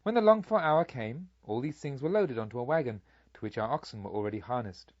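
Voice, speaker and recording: A man reading a literary story aloud as an audiobook narrator, in a measured, formal storytelling manner. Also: mid-range voice, animated, darkish tone, no echo, dull audio.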